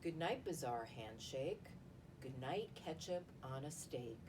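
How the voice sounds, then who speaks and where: A woman's voice: animated, a little slow. A woman reading a picture book aloud in a bedtime read-along style. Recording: distant mic, noisy rumbling room.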